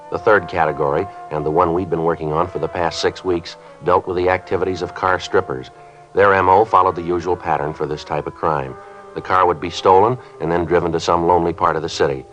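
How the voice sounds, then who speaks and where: An actor playing a terse, matter-of-fact American police sergeant in an old radio crime drama, with a flat, businesslike delivery. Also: male voice, low-pitched. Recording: dull, band-limited old radio recording.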